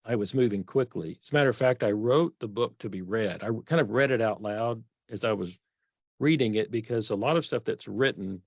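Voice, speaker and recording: A man's voice: lowish voice, fairly quick, dry recording. A middle-aged man from Texas with a Texan accent, speaking earnestly as an author guest in a conversational interview, often restarting his sentences. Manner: earnest, self-correcting restarts.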